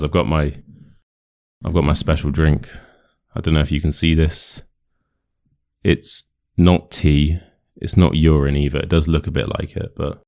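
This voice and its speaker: British English man, very low pitch, nasal from a cold, relaxed rambling teacher. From England. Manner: rambling, relaxed, answers questions aloud. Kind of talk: casual monologue to camera.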